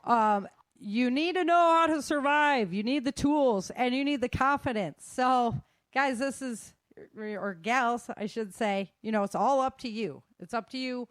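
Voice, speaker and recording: A woman's voice: fairly high pitch, unhurried, no echo. A woman hosting a talk show, giving casual, emphatic advice straight to her listeners. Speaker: host of a self-defense and survival show.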